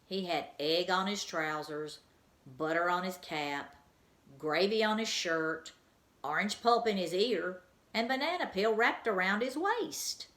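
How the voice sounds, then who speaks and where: A woman reading a children's book aloud as a teacher, with an expressive storytelling manner, in an echoey room. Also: on the low side, measured pace.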